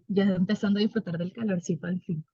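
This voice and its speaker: A woman's voice: mid-range voice, warm tone, husky, dry recording. A woman on a video call, chatting casually and steering the conversation in a friendly way. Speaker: co-speaker moderating. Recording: dull video call audio.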